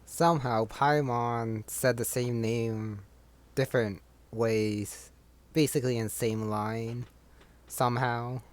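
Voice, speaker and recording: A man's voice: mid-range voice, fairly even intonation, slow, slight background noise. Man giving casual game commentary. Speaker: gamer commenting on playthrough.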